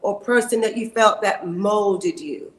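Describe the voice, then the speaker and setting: A woman in her fifties hosting an interview, effusive and animated. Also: medium pitch, unhurried. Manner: effusive and animated, full of praise. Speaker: host. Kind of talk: casual interview chat.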